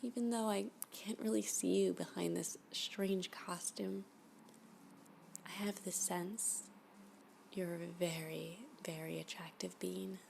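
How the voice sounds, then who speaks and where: A woman playing a flirty, obsessive, unhinged admirer in an intimate ASMR roleplay, coy and rambling, often slipping into breathy half-whispers. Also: lowish voice, fairly even intonation, takes it slowly, a little mellow, a little hiss or hum, full character voice. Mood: sultry, smitten. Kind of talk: ASMR roleplay, intimate one-sided dialogue.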